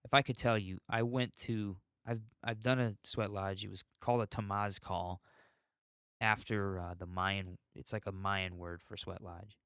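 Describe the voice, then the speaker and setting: Low-voiced American man, unfiltered podcast rambler. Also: fairly even intonation, a little slow, fairly bright tone, clean tone, dry recording, studio quality. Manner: unfiltered, sweary, ranting. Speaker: podcast host. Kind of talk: rambling solo podcast monologue.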